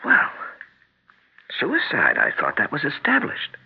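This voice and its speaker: An actor playing a reasonable husband in a 1970s radio mystery. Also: male voice, medium pitch, wildly swooping pitch, a little slow, vocal fry, slightly rough, full character voice. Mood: easygoing. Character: Kyle, reasonable, easygoing husband, turning indignant. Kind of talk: 1970s radio drama acting. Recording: narrowband vintage radio recording.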